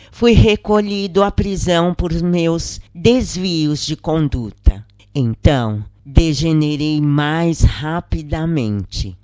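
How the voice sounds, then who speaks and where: Slow, expressive Brazilian narrator, very dry sound. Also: mid-range voice, no echo. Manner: measured, solemn reading in short phrases. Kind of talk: scripted narration of a spiritist first-person account.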